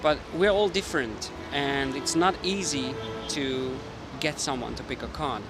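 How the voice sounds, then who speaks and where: A man's voice: medium pitch, a little slow, music in the background. A man with a Hungarian accent, a mentalist explaining his card tricks casually to the camera while thinking aloud. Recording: street noise with passing traffic.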